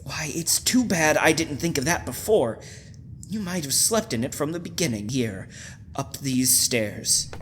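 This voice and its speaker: An actor playing a deranged, obsessive hotel keeper in a radio thriller, halting and softly insinuating with mock concern. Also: male voice, a little high, expressive, a little hiss or hum. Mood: quietly menacing. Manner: halting, softly insinuating, wide emotional swings. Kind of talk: old-time radio thriller acting.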